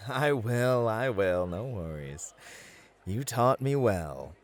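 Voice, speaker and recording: A man playing a charming, flirty club host and entertainer in an ASMR roleplay, with a florid, theatrical, flattering manner. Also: on the low side, slow pace. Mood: warm, fond. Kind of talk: scripted ASMR roleplay, acted. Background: background music.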